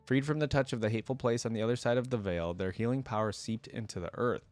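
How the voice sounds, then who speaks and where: A man's voice: mid-range voice, fast, darkish tone, no echo, soft background music. A man hosting a reaction, moving between casual, conversational commentary and reading a story aloud. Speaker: reaction host and reader.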